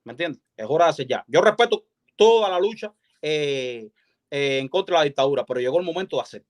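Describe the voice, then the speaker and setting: A Cuban man with a slightly high voice talks very quickly in an impassioned political style. He is insistent and emphatic, repeating his points and checking that listeners follow. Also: lively intonation. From Cuba. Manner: insistent, repetitive, emphatic, peppered with check-in questions. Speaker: activist guest calling in to a live show. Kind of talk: impassioned political talk on a live stream.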